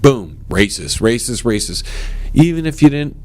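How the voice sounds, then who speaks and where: A man hosting his own talk show, with a strong voice, delivering a forceful, animated opinion monologue straight to his listeners. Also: normal speed. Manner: animated and forceful, swings up in pitch; addresses listeners as ladies and gentlemen.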